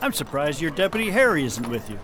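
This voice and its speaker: A man's voice: fairly high pitch, big pitch swings, quick pace, noisy background. A man playing a hearty, welcoming small-town sheriff in an audio drama. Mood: genial. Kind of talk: radio drama acting.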